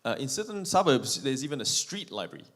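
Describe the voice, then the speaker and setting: A man from Malaysia delivers a sermon in a church, breaking his speech into short phrases. His voice sits at a middling pitch for a man. He pauses after each phrase so an interpreter can follow. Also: sing-song, fairly bright tone. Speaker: preacher. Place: church sanctuary.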